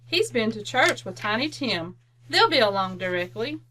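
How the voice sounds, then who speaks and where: A bustling, outspoken mother of a poor household, fond and plain-speaking. Her voice is high and bright, swinging widely in old-time radio style. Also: sing-song, normal speed, slight background noise.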